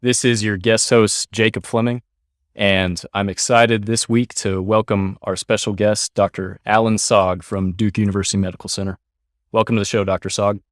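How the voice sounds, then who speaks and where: A man's voice: fairly low pitch, flat delivery, moderate pace, no room sound, studio quality. A young adult man guest-hosting a medical podcast, upbeat and friendly with some fillers.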